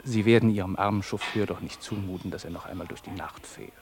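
An actor in a 1960s German radio comedy playing a rich, smug company boss, smooth-tongued, flattering and pushy. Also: male voice, deep voice, fast talker. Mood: wheedling, insistent.

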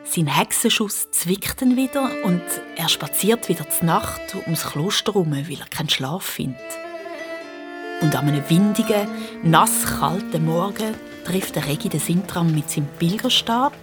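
Low-voiced Swiss German woman storyteller. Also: expressive, measured pace. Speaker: narrator. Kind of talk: storytelling narration for children's radio play. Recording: music behind the voice.

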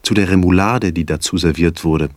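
A man reciting literary prose in a formal, mock-solemn, measured manner. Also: low-pitched, dry recording.

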